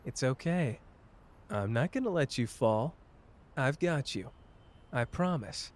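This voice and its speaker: A man's voice: medium pitch, lively intonation, slow pace. A man playing a cocky, tender young male sorcerer in an intimate ASMR romance roleplay, with a playful, teasing, casual manner. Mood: gentle, reassuring.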